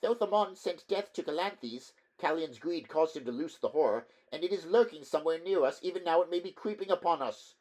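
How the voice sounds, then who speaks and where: A man's voice: fairly high pitch, expressive, brisk, few pauses, a little mellow, voice pushed up, low-quality recording. A man voicing a frightened male temple clerk whose voice shrills and cracks with panic. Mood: fearful.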